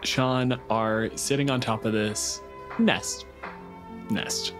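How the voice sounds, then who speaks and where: A man's voice: mid-range voice, steady tone, slow, music in the background. A man narrating casually and conversationally as the game master of a tabletop role-playing game. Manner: profane, conversational.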